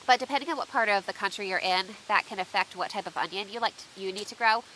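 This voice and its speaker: A woman's voice: medium pitch, quick pace, bright, forceful tone, slight background noise, poor audio. A woman chatting casually outdoors to her viewers as she unboxes seeds, sounding a bit stuffy and congested from allergies. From United States. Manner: chatty, asks viewers for tips. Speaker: homestead vlogger. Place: outdoors under shifting trees.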